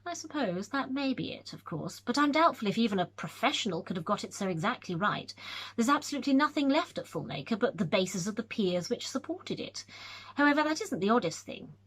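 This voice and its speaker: Brief: woman doing a breathless young academic recounting a scare, higher-pitched and animated, husky. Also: fairly quick, low-quality recording. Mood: eager. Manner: lively, excited storytelling with hesitations.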